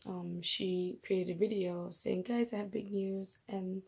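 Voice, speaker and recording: A young woman vlogging from her bedroom while doing her makeup, chatty and rambling, her voice raspy as she comes down with a cold. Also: medium pitch, steady tone, unhurried, a little mellow. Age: young adult. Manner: chatty, rambling, laughs at herself. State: coming down with a cold. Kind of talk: casual faith vlog.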